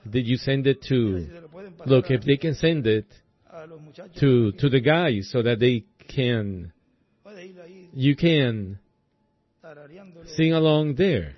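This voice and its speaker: A hoarse man preaches a sermon at an unhurried, measured pace, stopping often between short phrases. His pitch sits in an ordinary middle range. The recording is dull and lo-fi. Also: lively intonation. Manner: deliberate, halting phrasing with many pauses.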